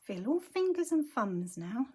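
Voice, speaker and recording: Woman, croaky husky voice, slow unhurried talk, chatty craft tutor, murmurs to herself. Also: on the high side, very animated, a little mellow. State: croaky, losing her voice. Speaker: card-making tutor.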